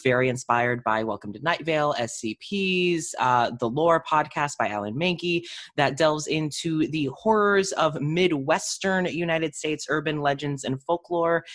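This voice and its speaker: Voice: medium pitch, a bit bright. A podcast host with a chatty, informal way of talking, speaking casually to guest and listeners. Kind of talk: casual podcast hosting and sign-off.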